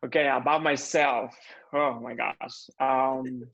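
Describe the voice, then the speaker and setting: A young man from Mexico with a Mexican accent, speaking English as a second language in an earnest interview chat, often pausing with fillers to find his words. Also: mid-range voice, steady tone, vocal fry, fairly bright tone, lo-fi sound. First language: Spanish. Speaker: floral designer guest.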